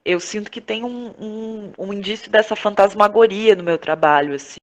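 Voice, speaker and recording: A Brazilian woman giving reflective interview answers, thinking aloud in a halting, searching way with frequent tag questions. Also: medium pitch. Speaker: podcast guest, playwright, actress and director.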